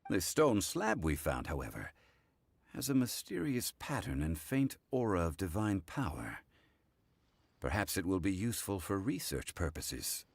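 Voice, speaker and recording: A man voicing a composed, refined scholarly gentleman in a video game, speaking formally and with measured dignity. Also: low voice, big pitch swings, gravelly, no echo, heavily acted. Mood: measured, mildly regretful.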